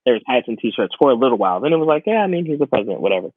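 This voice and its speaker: A man's voice: mid-range voice, big pitch swings, racing, husky, no echo. A man talks casually as a podcast co-host, in colloquial phrases he often repeats for emphasis. His mid-range voice comes through dry and narrow, like a call over the internet. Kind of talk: casual conversational podcast chat. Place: remote, away from studio. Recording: tinny, phone-like internet call audio.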